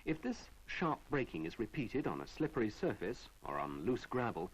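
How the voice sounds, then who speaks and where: A British man reading a measured, didactic narration for an instructional film from the 1970s. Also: on the low side, sing-song, breathy edge, rough recording.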